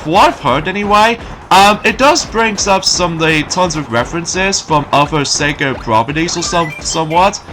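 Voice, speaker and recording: A man giving rambling, casual let's play commentary, his voice slightly croaky from waking early. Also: fairly high pitch, sharp tone. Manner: rambling, repeats filler phrases.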